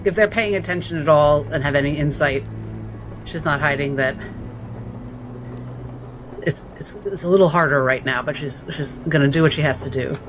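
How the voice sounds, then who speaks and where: A woman's voice: deep voice, moderate pace, long pauses, poor audio. Woman player narrating over music. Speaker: tabletop game player. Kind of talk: narrating own character's actions in a role-playing game.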